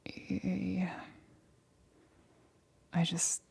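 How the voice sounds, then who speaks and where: A woman playing an anxious, lonely female lab assistant in an ASMR roleplay, whispering softly and intimately with halting, hesitant stammers. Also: fairly low pitch, little pitch movement, full character voice. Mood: lonely, clingy. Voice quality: breathy, whispered.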